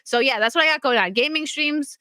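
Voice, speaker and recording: A woman streamer, a little hoarse, chats easily with her live audience and laughs often. Her voice is bright and sits a bit high. The sound is close and dry, with no room around it. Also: a little fast, dry recording. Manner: chatty, giggly, addresses chat directly. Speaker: streamer hosting a chat show. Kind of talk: casual livestream chat. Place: home streaming setup in Florida.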